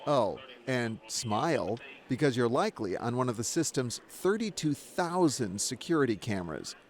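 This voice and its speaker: A man's voice: mid-range voice, normal speed. A man hosting and narrating a documentary in a wry, conversational way, sometimes asking interview questions. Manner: wry, conversational, lively delivery. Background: music under the narration.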